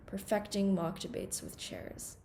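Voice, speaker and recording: A teenage woman from Hong Kong delivering a prepared welcome speech at a student conference in an earnest, formal, measured way. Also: mid-range voice, big pitch swings, warm tone, a little hiss or hum. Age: teens. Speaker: conference undersecretary general giving a welcome speech.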